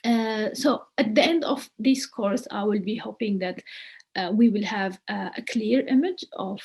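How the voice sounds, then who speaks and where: Woman, husky, gravelly, halting with fillers, lecturing. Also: medium pitch, a little mellow. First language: Arabic. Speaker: course mentor, archaeologist. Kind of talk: introductory online lecture.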